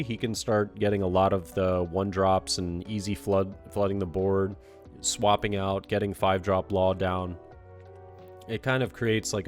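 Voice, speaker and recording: A young man with a congested voice, casually commenting on a card game match with relaxed, filler-heavy play-by-play and some self-criticism. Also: sits low.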